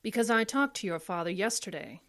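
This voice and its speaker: A composed female defense attorney played by an actress in an old-style radio play. Her voice sits at a middle pitch, and her delivery is formal, measured and principled. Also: animated, close to an everyday voice. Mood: firm. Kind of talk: classic radio drama acting.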